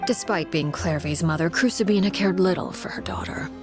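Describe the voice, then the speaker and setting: An actress playing a cool, composed woman in a video game, solemnly recounting a dark past in measured, deliberate phrases. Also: fairly low pitch, lightly acted. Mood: grave, cold. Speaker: story character narrator. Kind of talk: scripted dramatic game dialogue, storytelling. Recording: music playing behind the voice.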